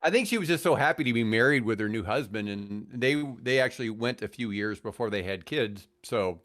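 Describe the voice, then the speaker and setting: A man's voice: on the low side, lively intonation, a little fast, a bit bright. Wry older American man telling stories. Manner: wry, self-mocking, joking. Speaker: podcast guest, veteran radio host and guitarist. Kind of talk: casual storytelling chat.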